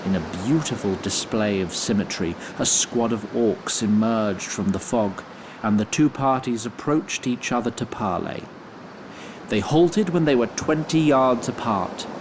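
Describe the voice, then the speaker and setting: A man's voice: mid-range voice, background noise, natural voice. A man playing a fussy, courtly wood sprite who narrates a fantasy audio drama in formal, theatrical language. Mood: grave storytelling.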